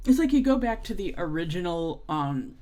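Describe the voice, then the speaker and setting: A woman's voice: lowish voice, dark tone. A woman in her forties giving casual, off-the-cuff reaction commentary as a video host, wry and given to sighs. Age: 40s.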